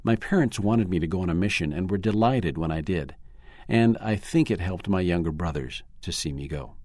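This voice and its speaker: A man reading a first-person article aloud in a measured, earnest way. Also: sits low, a little mellow, dry recording, studio quality. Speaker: narrator.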